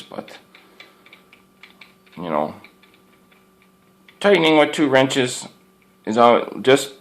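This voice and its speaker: A man giving a casual, unscripted product review as a hobbyist, rambling in a folksy way and circling back over his points. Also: medium pitch. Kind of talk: casual unscripted show-and-tell.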